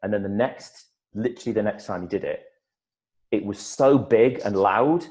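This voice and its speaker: A middle-aged man, an actor, telling stories in a casual interview, lively and full of restarts. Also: on the low side, normal speed. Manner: animated storyteller, many restarts and repeated words. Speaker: actor guest.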